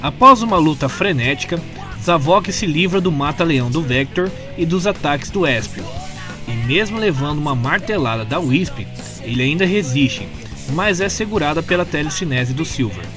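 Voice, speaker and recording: A man with a Brazilian accent reading scripted story narration in an expressive storytelling manner. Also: a little high, moderate pace, over music. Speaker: narrator of comic recaps.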